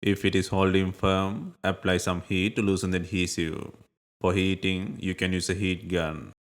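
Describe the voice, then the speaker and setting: Male tutorial narrator, low voice, measured and plainly instructive delivery of scripted how-to steps. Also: flat delivery.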